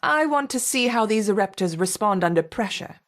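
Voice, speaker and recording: A woman's voice: medium pitch, expressive, a little fast, lightly acted. A woman playing a coolly curious scientist in charge, speaking scripted game dialogue in a commanding, clinical manner. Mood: clinical curiosity.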